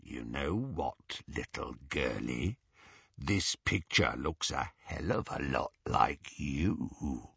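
A man voicing a menacing, predatory werewolf gang leader in a raspy, growling, breathy voice, slow and quietly threatening. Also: a bass voice, animated, no room sound, highs cut off, voice pushed down, heavily acted. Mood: quiet menace.

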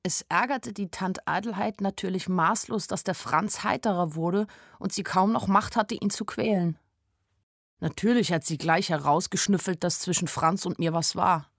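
A woman's voice: fairly low pitch, normal speed, gravelly, no room sound, studio quality. An actress playing a young shopgirl in love, narrating a radio play in a plain, reflective, anxious and melancholy way. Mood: wry, reflective.

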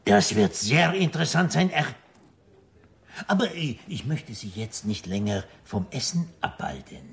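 An actor in a German radio play playing a slyly courteous, mysterious host who speaks deliberately with dramatic pauses. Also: male voice, mid-range voice, very animated, creaky, a little hiss or hum. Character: enigmatic, ceremonious male host, slyly courteous.

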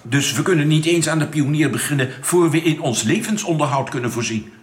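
A man's voice: on the high side, brisk, lightly acted. An actor playing a down-to-earth, questioning spaceship crewman from Earth in a radio play. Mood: wary, questioning. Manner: conversational, questioning. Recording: echoey room.

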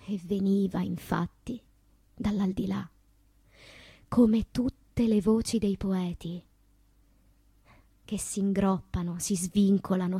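An actress playing an anguished woman poet in a theatrical, declamatory monologue with long pauses. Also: mid-range voice, warm tone, breathy edge, no room sound. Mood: melancholy, hushed. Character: anguished woman poet recalling loves, madness and death. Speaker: stage actress.

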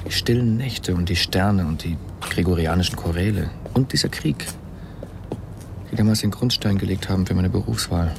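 A man acting in a radio play as a suave, curious astronomer with playful vanity and a gently ironic way of talking. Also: low voice, moderate pace, gravelly, noisy background. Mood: reflective.

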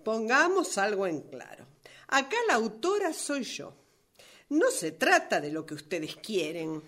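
An actress with a Rioplatense Argentine accent playing a defensive, flustered novelist in a radio play rehearsal, hesitant and protesting. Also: female voice, fairly high pitch, big pitch swings, unhurried, a bit bright, full character voice. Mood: firm, flustered. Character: flustered, defensive woman novelist facing her own character.

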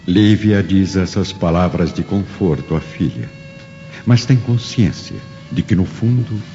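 Deep, solemn male narrator in long reverb. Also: a little slow, dark tone. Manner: solemn, theatrical. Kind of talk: scripted dramatic narration of a radio drama. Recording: long reverb, dull audio, music behind.

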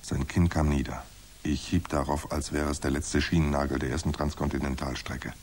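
Hard-boiled crime narrator, extremely low, husky, dry and terse delivery. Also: male voice, fairly even intonation, normal speed, darkish tone. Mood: suspenseful. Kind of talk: scripted noir narration in radio drama.

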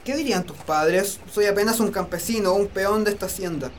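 A man's voice: sits high, brisk, slight background noise, natural voice. A man playing a humble, lovelorn young farmhand in a romantic radio drama, earnest and poetic. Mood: resigned, proud.

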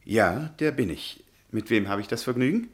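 A man's voice: medium pitch, expressive, normal speed, close to an everyday voice. An actor playing a shy, hesitant male history professor, stammering and unsure, in an old German radio play. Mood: puzzled.

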